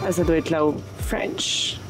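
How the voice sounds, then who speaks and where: A woman vlogging in an airplane cabin on her phone, talking casually in an excitable, exclamatory, slangy way. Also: low-pitched, slow, rough recording. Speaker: travel vlogger. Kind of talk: excited casual vlog narration. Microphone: phone camera. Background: loud music.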